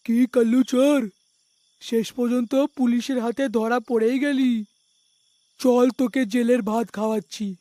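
A man's voice: extremely high pitch, fairly even intonation, measured pace, mellow, no room sound. A man voicing a bullying cartoon village policeman who taunts and scolds. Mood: gloating. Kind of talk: cartoon voice acting.

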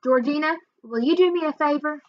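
A woman's voice: high-pitched, a little fast, dull audio, voice pushed up, close to an everyday voice. A woman voicing a worried, sad, folksy Southern woman who is wistful, in a storybook read aloud. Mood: hopeful, wistful. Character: worried, sad Southern woman missing her lost dog.

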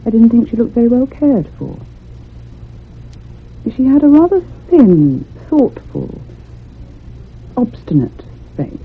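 Radio drama lead: convalescent lady storyteller, breathy-husky, animated melody, curious and easily unsettled, thin telephone-band sound. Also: fairly high pitch, heavily acted. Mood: insistent, puzzled. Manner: literary, measured storytelling. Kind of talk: radio drama narration and dialogue. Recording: muffled, phone-like old radio sound.

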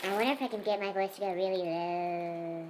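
A young woman in a casual home video with friends, speaking in a squeaky chipmunk-like voice from breathing helium, playful and giggly. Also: fairly quick. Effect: helium-raised squeaky voice. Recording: loud background noise.

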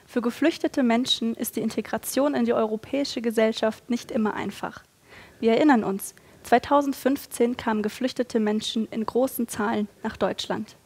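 A young woman presenting a campus news magazine in a clear, measured scripted read. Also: a little high, fairly even intonation, a little mellow.

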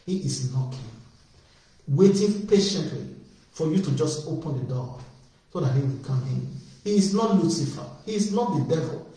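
A man's voice: fairly high pitch, lively intonation, moderate pace, mellow. A man preaching an evangelistic sermon, calling out with fervent, repetitive appeals that build in emphasis. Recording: very echoey, distant from the mic.

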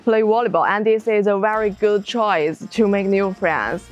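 A woman's voice: mid-range voice, moderate pace, dull audio, soft background music. A young woman from China with a Chinese accent, an exchange student answering interview questions in simple English on a lapel mic outdoors. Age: young adult. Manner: simple, slightly uneven English. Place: university courtyard outdoors.

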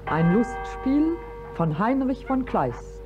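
Very slow female announcer over music. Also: fairly high pitch, sing-song. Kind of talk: formal radio play title announcement. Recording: dull old radio recording with music.

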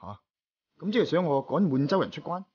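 A man's voice: a little high, sing-song, brisk, a little mellow, breathy edge, no room sound, highs cut off. A man playing a proud, wary Qing emperor in a Cantonese radio drama, imperious and defensive. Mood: startled, suspicious.

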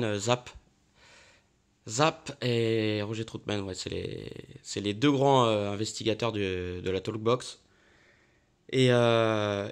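A man talking casually and off the cuff as a video host, in an informal, hesitant way with repeated phrases. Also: medium pitch. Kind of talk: casual off-the-cuff chat introducing music. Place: home living room, off camera.

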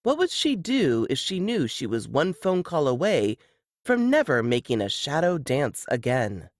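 A man's voice: on the high side, huge pitch swings, measured pace, dry recording, studio quality. A man reading scripted suspense fiction as an audiobook narrator.

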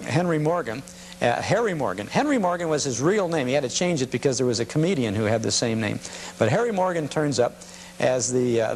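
Guy hosting a nostalgia show, kinda high voice, chatty and halting, noisy old tape with hum. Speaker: host of a nostalgia broadcast. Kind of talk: casual unscripted introduction of vintage commercials.

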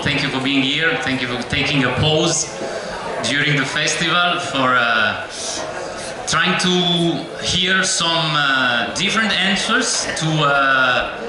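Middle-aged Italian-accented male moderator, halting delivery, very slow; recorded from afar in a reverberant hall with crowd noise. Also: a little high. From Italy. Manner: hesitant, many filler "uh"s. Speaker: panel moderator. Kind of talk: live panel introduction. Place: large festival hall, on stage. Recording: echoey hall from far off with crowd.

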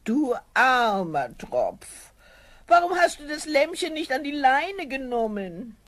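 A woman's voice: high-pitched, big pitch swings, fairly bright tone, heavily acted. An actress playing a doting, fussing peasant mother in a children's fairy-tale radio play, coaxing and full of exclamations. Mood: fond, pitying. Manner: coaxing, exclamatory, drawn-out endearments.

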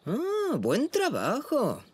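A playful, clumsy father zebra from a children's cartoon. His intonation swoops wildly into yelps and whoops, and he speaks slowly. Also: very high-pitched, huge pitch swings, breathy edge, no room sound, exaggerated voice. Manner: warmly teasing, yelps and whoops.

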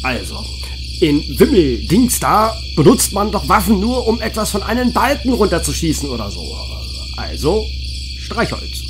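A man's voice: high voice, wildly swooping pitch, moderate pace, over music. A man playing an absent-minded, matter-of-fact storyteller on the run in a horror-comedy audio play. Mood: musing. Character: absent-minded male storyteller fleeing a monster.

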